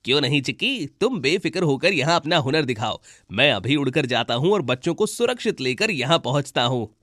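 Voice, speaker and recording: A man voicing a young male bird in a children's animal cartoon, with an animated, exclaiming delivery. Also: fairly high pitch, fairly quick, no echo, clean studio sound. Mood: reassuring.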